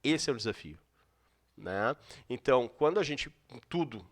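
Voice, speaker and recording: A man's voice: mid-range voice, expressive, normal speed. A man explaining his work as a guest, reflective and asking rhetorical questions. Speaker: guest expert. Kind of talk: explanatory interview talk.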